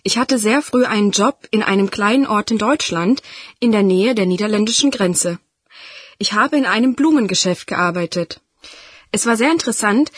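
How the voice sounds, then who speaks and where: A young woman reading a scripted part as a student, friendly and bright. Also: on the high side, husky, dry recording, clean studio sound. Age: young adult. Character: young Dutch female student, 19. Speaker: student speaking in a listening exercise. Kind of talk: scripted reading for a listening test.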